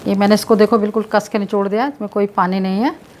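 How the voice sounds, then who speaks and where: A woman presenting health tips, calmly explaining a home remedy step by step while showing how it's done, repeating points as she goes. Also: mid-range voice, fast talker, a little hiss or hum. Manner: explains with repetition while demonstrating. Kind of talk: demonstration lesson.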